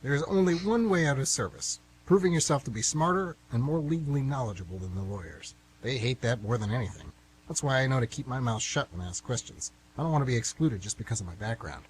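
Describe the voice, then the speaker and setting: A man's voice: medium pitch, animated, quick pace, a little hiss or hum, close to an everyday voice, rough recording. A man playing a pompous, easily offended would-be novelist in his fifties in an amateur radio comedy. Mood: smug. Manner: pompous, grandiloquent, indignant. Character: pompous, defensive 52-year-old aspiring novelist man.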